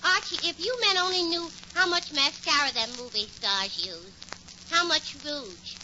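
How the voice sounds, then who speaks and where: Brassy, high-pitched New gal. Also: female voice, expressive, measured pace. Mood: scornful. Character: brassy, skeptical, vain single woman. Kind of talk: 1940s radio comedy acting. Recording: noisy, dull 1940s radio recording.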